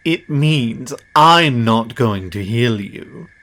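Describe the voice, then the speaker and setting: A man's voice: medium pitch, animated, takes it slowly, fairly bright tone. A man playing a cocky, condescending, powerful demon who makes deals, performed as a theatrical audio roleplay spoken straight to the listener. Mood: resigned, blunt.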